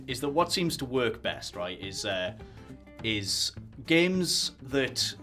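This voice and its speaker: A man co-hosting a podcast, laying out his argument in a measured way with asides. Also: medium pitch, sharp tone. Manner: measured, argues a thesis with asides. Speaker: podcast co-host. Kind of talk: casual podcast chat.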